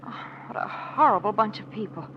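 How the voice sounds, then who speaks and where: A woman's voice: mid-range voice, expressive, brisk, natural voice. An actress playing a pert society lady and detective's companion in a 1940s radio drama. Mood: chatty, wry. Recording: dull, noisy old 1940s radio broadcast.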